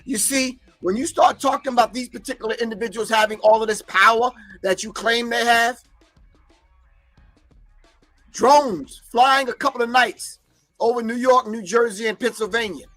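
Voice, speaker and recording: A man with a high, bright voice hosts a casual livestream, speaking in an emphatic, preachy way and talking back to the chat. English is not his first language. Faint music plays behind him. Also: fairly quick. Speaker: host.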